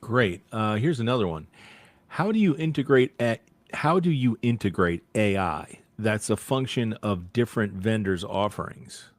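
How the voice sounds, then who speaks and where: A man's voice: lowish voice, animated, moderate pace, no room sound. A man moderating a question-and-answer session, reading out audience questions.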